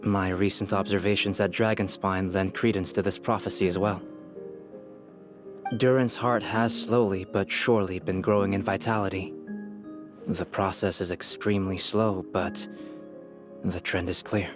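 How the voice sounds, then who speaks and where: An actor playing a calm, polite young male researcher in a game, speaking formally and articulately. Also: sits low, moderate pace, music in the background, lightly acted. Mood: grave, thoughtful. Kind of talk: game character dialogue.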